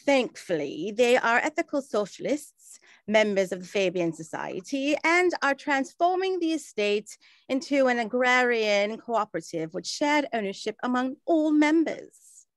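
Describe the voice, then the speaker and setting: Woman as genteel 1920s auntie, period drama: fairly high voice, formal, earnest, close dry sound. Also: expressive, a little slow, no echo. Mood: earnest, informative. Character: genteel, earnest well-travelled aunt of the 1920s. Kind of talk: scripted period radio drama acting.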